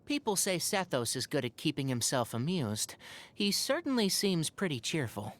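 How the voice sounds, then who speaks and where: Expressive, articulate young forest ranger scholar. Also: male voice, fairly high pitch, moderate pace. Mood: friendly, earnest. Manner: articulate, thoughtful, dryly opinionated. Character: young male forest ranger scholar with animal ears, earnest, wry, caring. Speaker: video game character voice. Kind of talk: scripted game character monologue.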